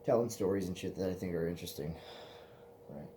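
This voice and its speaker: A man's voice: low-pitched, quick pace, mellow, slight background noise. A man in his twenties, hosting his own vlog in a loose, profane, meandering casual chat. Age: 20s. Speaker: vlog host.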